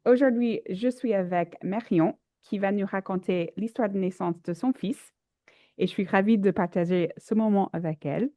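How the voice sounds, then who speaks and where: A woman's voice: mid-range voice, normal speed, mellow, dry recording, clean studio sound. A woman hosting a podcast interview, asking friendly questions, with a slight cold. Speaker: podcast host. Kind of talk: casual interview.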